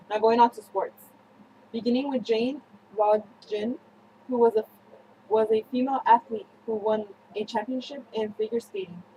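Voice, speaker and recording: A young woman presenting a scripted student newscast, reading haltingly with slips and self-corrections. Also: on the high side, warm tone, slight background noise, rough recording. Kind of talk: scripted news-style narration for a history project.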